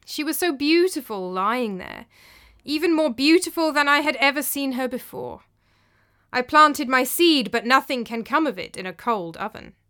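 A woman's voice: mid-range voice, expressive, normal speed, fairly bright tone, lightly acted. A woman voicing an angry, brooding male tyrant of ancient Greece in a story, with a commanding, abrupt manner.

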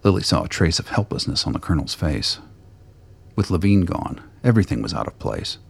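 A man reading scripted narration for a radio play in a deep, gravelly voice. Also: sing-song, a little fast.

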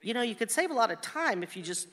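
Older wife and mother, radio play, sardonic, nagging, outspoken, crisp bright edge, medium pitch, reverberant hall. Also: big pitch swings, fast. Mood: exasperated, nagging. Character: exasperated, sharp-tongued, teasing older wife and mother.

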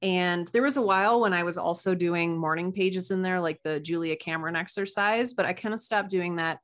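A woman's voice: fairly low pitch, fairly quick. A woman chatting casually as a podcast guest, friendly and encouraging.

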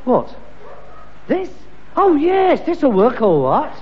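Pompous touchy london son in an old sitcom, highish voice, muffled echoey recording. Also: male voice, huge pitch swings, slow, a little hiss or hum, heavily acted. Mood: blustering, defensive. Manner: pompous, blustering delivery. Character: pretentious, defensive, easily exasperated grown son in a sitcom. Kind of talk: vintage British sitcom acting.

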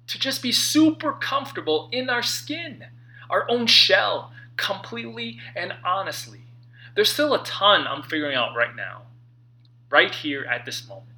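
Male self-help author-narrator: lively swings in pitch, sharp intense tone, emphatic dramatic pauses. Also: rough recording. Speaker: author reading own book.

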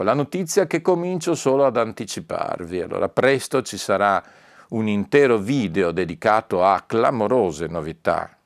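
Deep-voiced man, emphatic and deliberate. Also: dry recording, studio quality. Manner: emphatic, deliberate, repeats key words for emphasis. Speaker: record label owner presenting news. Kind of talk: informal promotional video announcement.

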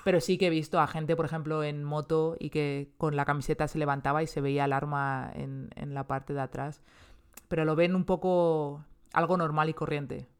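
Spanish woman chatting in an interview, flat even tone, smooth clean voice, lots of restarts. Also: low-pitched, normal speed. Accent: Spain Spanish. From Spain. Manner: chatty, many restarts and fillers. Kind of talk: casual interview.

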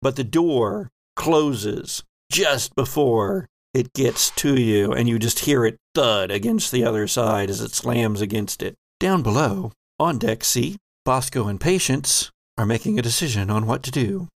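Unhurried man with a creaky voice. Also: mid-range voice, animated, dry recording. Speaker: game master. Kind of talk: improvised tabletop game narration.

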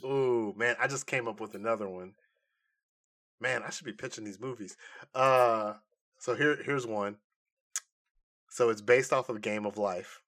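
Male podcast host, bright forceful voice, average pitch, informal, halting, conversational. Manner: informal, halting as he thinks aloud. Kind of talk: casual chat, pitching comic movie ideas.

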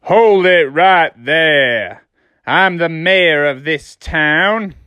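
A man voicing a big, steady Old West sheriff with a folksy, commanding cowboy drawl in a children's story. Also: a little high, extremely slow, clear, resonant tone, dry recording, exaggerated voice. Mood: firm.

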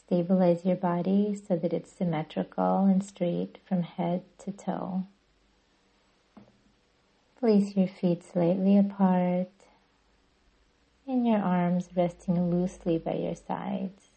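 Slow, husky woman guiding meditation. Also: lowish voice, steady tone, highs cut off. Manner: soothing, gentle, deliberate. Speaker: yoga nidra guide and podcast host. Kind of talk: guided meditation narration.

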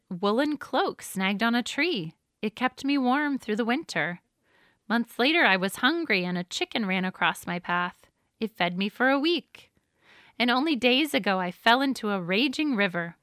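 A woman's voice: expressive, unhurried, pushed and bright, no room sound, clean studio sound. A woman voicing a hearty, reflective father in a storybook, an adult man telling of his hard travels. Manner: booming greeting, storytelling. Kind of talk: storybook character voice.

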